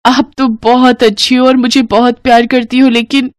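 A woman's voice: fairly high pitch, flat delivery, normal speed, dry recording, studio quality, pitched above the performer's own voice, lightly acted. A woman voicing a timid, tearful young daughter-in-law who pleads and falters in a cartoon story. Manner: pleading, stammering.

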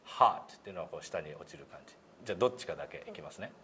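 A man's voice: low voice, animated, rapid-fire, fairly bright tone. A man giving a hands-on pronunciation lesson as instructor, in a polite, encouraging way. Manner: polite, encouraging, often chuckling. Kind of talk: hands-on lesson, demonstrating single sounds. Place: meeting room indoors. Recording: clear background room noise.

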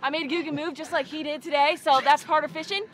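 A woman's voice: high-pitched, fairly even intonation, fast, a crowd in the background. A young woman hosting a fishing vlog, coaching and cheering in an upbeat way, recorded outdoors on an open boat and at a dock. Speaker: fishing channel host. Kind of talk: excited fishing vlog chat. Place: open boat at sea, then a marina dock.

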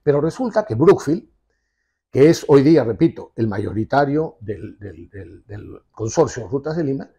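A man in his sixties delivers forceful opinion commentary. His voice is dark, gravelly and husky. He talks with emphatic insistence, recorded close on a lapel mic in an office. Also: medium pitch, big pitch swings, moderate pace. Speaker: TV show host and commentator. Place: indoor office with leather sofa.

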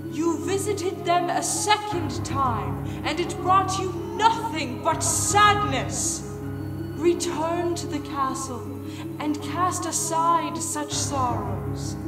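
A woman's voice: high voice, expressive, slow, over loud music. A woman playing a possessive, pleading magical flower in an audio play, speaking urgently and commandingly. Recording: echoey hall-like reverb.

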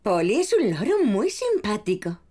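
A woman's voice: mid-range voice, huge pitch swings, normal speed, warm tone, slight background noise. A woman voicing a kindly, affectionate grandmother pig in a Castilian Spanish children's cartoon, with a singsong manner. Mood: gently curious.

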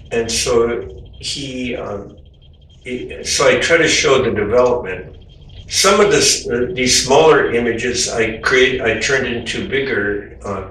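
Older guy slowly explaining his art, lots of uhs and restarts, sounds far off in a really echoey room. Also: medium pitch, slight background noise. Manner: gentle, halting, many fillers and restarts. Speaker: artist. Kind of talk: informal talk about his artwork. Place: home room with windows, indoors.

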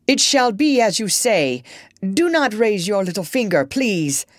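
A woman's voice: on the low side, big pitch swings, slow, no echo, studio quality. A woman voicing an imperious, gullible king in a children's storybook, blustering and demanding. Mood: frightened. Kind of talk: children's storybook character reading.

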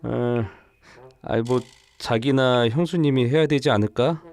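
A man's voice: medium pitch, measured pace, a little mellow, natural voice. A man playing a dutiful husband and devoted son, mildly reproachful, in a dramatized story video. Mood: uneasy, reproachful. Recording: music behind the voice.

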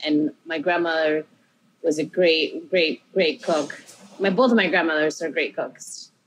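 A woman's voice: sits low, a little slow, a little hiss or hum, poor audio. A woman from Peru, a chef and interview guest, telling her story in a rambling, self-correcting, upbeat way.

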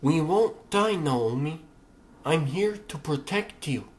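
A man's voice: fairly high pitch, lively intonation, takes it slowly. A man voicing a reckless but unruffled teenage superhero boy in an amateur comic-book dub. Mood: calm. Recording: loud music under the voice. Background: loud music.

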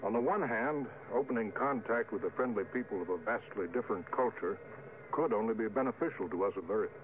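An actor playing a commanding starship captain, deliberate and stern, in 1950s radio drama style. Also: male voice, lowish voice, close to an everyday voice. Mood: grave. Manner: authoritative, deliberate. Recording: phone-like vintage radio recording, faint hiss.

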